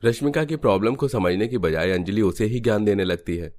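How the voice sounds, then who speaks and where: Very deep male story narrator. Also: brisk, darkish tone. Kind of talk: scripted Hindi cartoon story narration.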